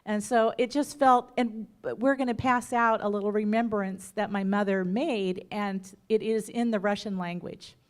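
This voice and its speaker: Middle-aged woman, plain mid voice, halting unscripted memorial remarks in a church. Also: mid-range voice, fairly even intonation. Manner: unscripted, halting, with many um's and self-corrections. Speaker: family member speaking at a memorial. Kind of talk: memorial remembrance talk.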